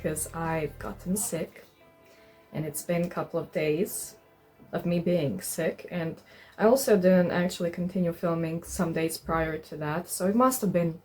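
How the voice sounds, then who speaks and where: Slow-talking young woman vlogger. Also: fairly low pitch, a little mellow. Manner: informal, swearing. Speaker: vlogger, artist. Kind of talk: casual vlog narration.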